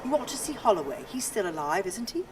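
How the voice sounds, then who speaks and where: An actress playing a long-suffering wife in a radio drama, chiding yet detached and matter-of-fact. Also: female voice, mid-range voice, big pitch swings, quick pace, recorded from a distance, background noise, close to an everyday voice. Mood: reproachful, probing. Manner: chiding, questioning. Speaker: radio play actor.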